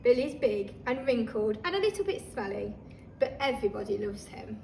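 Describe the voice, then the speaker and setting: A woman reading a children's story aloud like a teacher, expressive and talking listeners through the pictures. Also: fairly high pitch, moderate pace, creaky. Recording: roomy, distant microphone, faint noise.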